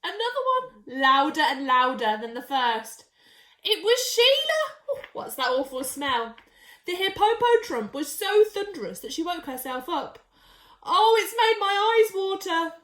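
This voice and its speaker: A woman's voice: sits high, big pitch swings, a little slow, fairly bright tone. A woman reading a picture book to young children, expressively and with dramatic emphasis. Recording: echoey, roomy sound.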